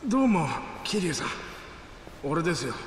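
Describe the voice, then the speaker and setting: A man's voice: on the high side, big pitch swings, moderate pace. A male actor playing a wary but friendly sewer-dwelling informant in a video game, talking casually and a little nervously. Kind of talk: dramatic video game acting. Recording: very echoey underground space, faint noise.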